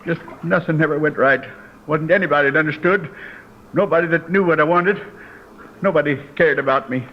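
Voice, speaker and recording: A man acting as a distraught, self-pitying gunman with a high, agitated voice, repeating himself in rough folksy grammar. It is old radio crime drama, muffled with faint noise behind. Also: fairly quick, natural voice. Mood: despairing, broken. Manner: agitated, repetitive, ungrammatical folksy speech. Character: distraught paranoid gunman, cornered, self-pitying, uneducated drifter.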